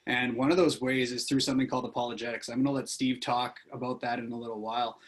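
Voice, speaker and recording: A man hosting a casual faith podcast, talking in a relaxed, friendly way with plenty of fillers. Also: mid-range voice, fast talker, breathy edge. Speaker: podcast host.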